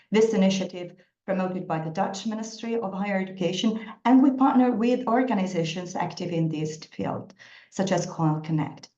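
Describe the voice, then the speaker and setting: Woman presenter with a warm, mellow voice, fluent delivery, roomy and slightly muffled call audio. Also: mid-range voice. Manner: articulate, fluent with occasional fillers. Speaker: guest speaker, managing director. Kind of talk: online presentation. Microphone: video call. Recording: dull, echoey video call audio.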